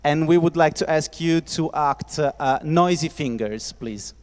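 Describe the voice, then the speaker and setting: A man from Italy hosting a casual festival discussion, playful and quick to laugh, talking into a handheld mic with many fillers. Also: a little high, darkish tone. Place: festival hall with audience. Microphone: handheld microphone. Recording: reverberant hall.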